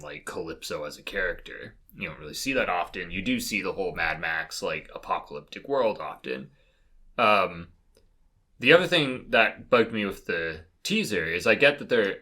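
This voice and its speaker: A man's voice: sits low, sharp tone. A man talking casually and giving opinions as a podcast panelist, rambling and often cutting into his own sentences as he hedges. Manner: rambling, self-interrupting, hedging.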